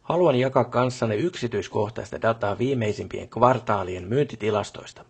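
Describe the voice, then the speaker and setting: A man's voice: on the low side, vocal fry, husky. A man playing a pompous middle-aged company boss in a video game, with a stiff, long-winded, bureaucratic way of speaking. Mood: formal, pompous. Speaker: job boss character. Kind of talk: formal scripted meeting speech in a video game.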